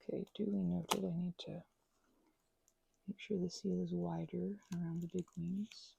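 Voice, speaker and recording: A woman in a soft-spoken ASMR crafting ramble, talking close to the microphone in a meandering way and often slipping into whispers. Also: on the low side, little pitch movement, a little slow, creaky, a little hiss or hum, low-quality recording.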